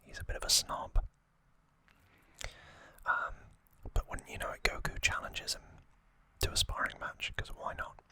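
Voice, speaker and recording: ASMR host, fully whispered and breathy, slow delivery in short hushed phrases, crisp and very bright. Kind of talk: ASMR whispered anime recap and trivia.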